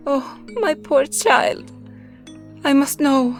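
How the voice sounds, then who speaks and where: A woman playing a poor, anxious village mother in a fantasy audio drama, with drawn-out emotional delivery. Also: sits high, steady tone. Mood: pleading. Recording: music behind the voice. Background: music.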